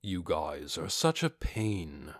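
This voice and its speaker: A man voicing a calm, coolly confident armored warrior in an audiobook, with an unhurried, dismissive manner. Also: deep voice, dry recording, studio quality, pitched below the performer's own voice, exaggerated voice. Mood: weary, annoyed.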